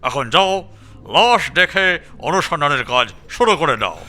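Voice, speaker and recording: A senior police chief in a detective audio drama. His voice is fairly high and very forceful, giving orders with formal authority. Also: sing-song, a little slow, heavily acted. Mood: commanding, pleased. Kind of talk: dramatic audio story acting.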